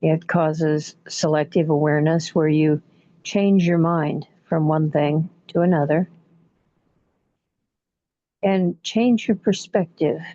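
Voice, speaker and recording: A woman leading a guided relaxation meditation in a soothing, unhurried manner, leaving long pauses between short phrases. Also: low-pitched, steady tone, dark tone, dry recording. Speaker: guided imagery facilitator. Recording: muffled, dull lo-fi audio.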